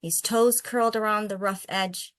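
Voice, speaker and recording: A woman's voice: mid-range voice, fairly even intonation, normal speed, smooth, no room sound, studio quality. A woman who hosts read-alouds of picture books and chats about them in an encouraging way. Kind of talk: picture-book read-aloud and casual discussion.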